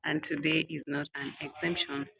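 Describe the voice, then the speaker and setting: A woman's voice: low-pitched, little pitch movement, moderate pace, gravelly, a bit bright. A woman in her thirties vlogging outside a small villa, reflecting aloud in a hesitant, rambling way, with many "uh" fillers and repeated phrases. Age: 30s. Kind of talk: reflective casual monologue.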